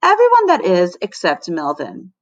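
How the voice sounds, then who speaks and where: A woman teacher narrating a children's storybook aloud in an expressive, dramatic way. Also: on the low side, normal speed, dull audio. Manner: animated, dramatic.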